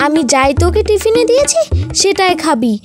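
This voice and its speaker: A woman's voice: very high voice, big pitch swings, a little fast, over music, voice pushed up. A woman voicing a strict, worrying mother bird in a cartoon story. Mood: scolding. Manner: scolding, fretful.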